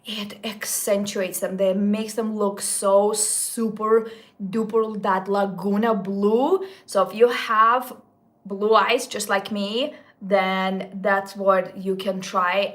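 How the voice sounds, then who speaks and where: A woman's voice: medium pitch, fairly even intonation, slow pace, fairly bright tone. A woman almost forty, chatting casually to her viewers as a beauty vlogger, gushing about products with little slips and restarts. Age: 30s. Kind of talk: casual makeup talk to viewers.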